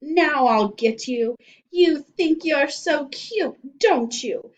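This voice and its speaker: Woman putting on a taunting, gloating villainess, pitched high. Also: animated, distant mic, lo-fi sound. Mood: gloating menace. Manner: menacing, drawn-out taunting with cackles. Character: gloating villainous woman turned into talking potato salad. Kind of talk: children's audiobook character voice.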